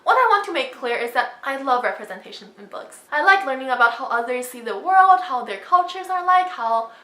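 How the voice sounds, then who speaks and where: A woman with a high, very lively voice speaks straight to camera like an opinionated vlogger. She makes her points emphatically, and the room around her sounds echoey. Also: a little fast. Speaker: book vlogger. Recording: roomy echo.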